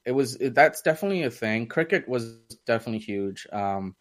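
A man's voice: mid-range voice, animated, brisk. A young man from India with a mixed Indian, British and American accent, chatting as an interview guest and rambling through fillers and restarts. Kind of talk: casual interview conversation.

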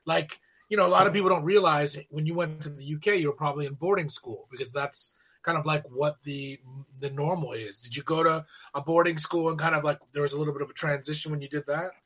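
A male host runs a casual interview with chatty, curious questions. His voice sits fairly high and sounds very bright. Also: steady tone, fast, hardly any pauses, slightly rough, poor audio.

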